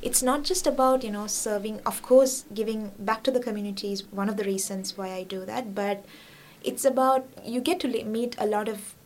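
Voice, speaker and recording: A young woman from Sri Lanka talks easily in an interview, her voice pitched in an ordinary middle range. She keeps dropping in "like" and "you know" as she goes. Faint noise hums underneath. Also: normal speed, darkish tone. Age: young adult. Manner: chatty, many fillers like "like" and "you know", laughs often. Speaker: international student guest. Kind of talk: casual interview.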